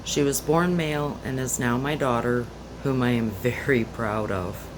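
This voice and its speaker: Parent, steady heartfelt read, AC hum behind. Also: female voice, a bass voice, unhurried. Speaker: parent of a transgender daughter. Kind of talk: reading a personal letter aloud.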